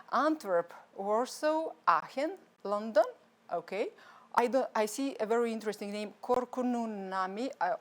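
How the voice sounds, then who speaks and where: A woman from Lithuania with a Lithuanian accent, a non-native English speaker hosting a live-streamed conference from a studio in a chatty way, with some restarts. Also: fairly high pitch. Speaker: conference host.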